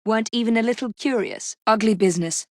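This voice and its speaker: An actress playing a young Victorian assassin in a video game, with an English accent and crisp, formal, dryly composed delivery. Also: female voice, on the high side, fast talker, no echo, close to an everyday voice. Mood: composed, resolute. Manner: crisp, articulate, formal, dry. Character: determined, sharp-witted young female Victorian assassin, composed and dry.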